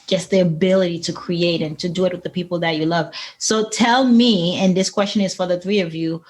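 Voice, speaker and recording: A woman hosting a casual podcast interview in an encouraging, affirming way. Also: on the low side. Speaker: podcast host, coach.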